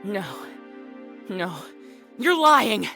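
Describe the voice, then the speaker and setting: A bitter, combative eldest daughter, acted by a woman in an audio drama. Her voice is bright and forceful and swings widely in pitch, with a blunt sardonic edge, over faint music. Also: animated, drawn-out words, creaky, heavily acted. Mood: desperate, bitter. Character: defiant, bitter firstborn daughter fighting for her siblings.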